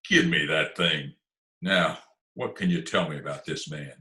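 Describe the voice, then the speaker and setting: A man playing a gruff, impatient, sardonic detective in an old-time radio comedy-mystery, brusque and deadpan. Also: rumbling low, a little slow, exaggerated voice. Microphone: video call. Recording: roomy video call recording.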